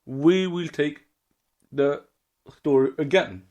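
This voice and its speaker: Guy on a podcast, talks really slow, voice a bit high. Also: a little mellow. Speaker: podcast co-host. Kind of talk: casual chat.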